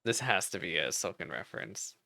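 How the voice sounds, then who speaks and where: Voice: deep voice, lively intonation, fairly quick, vocal fry, bright, forceful tone. A game streamer of unclear sex, rambling and exclaiming while reacting live to a story quest. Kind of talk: reading game dialogue aloud with excited live reactions. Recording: game music behind the voice. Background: video game music.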